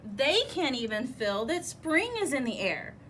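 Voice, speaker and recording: A woman's voice: sits high, sing-song, unhurried, a bit bright, a little hiss or hum, pitched above the performer's own voice, full character voice. Woman voicing an eager, stubborn young girl groundhog. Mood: scornful, stubborn. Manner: insistent, eager.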